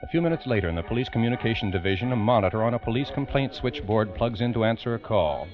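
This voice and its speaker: A man narrating a true-crime radio drama in the 1940s style, grave, formal and deliberate. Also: lowish voice, lively intonation, close to an everyday voice. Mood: grave, measured. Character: grave male narrator of a police case. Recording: muffled vintage radio recording, music behind.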